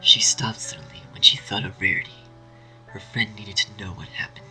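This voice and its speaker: A man narrating a scripted dark fan story in a dramatic storytelling style. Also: fairly low pitch, flat delivery, normal speed, whispered, rough recording. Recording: faint music behind.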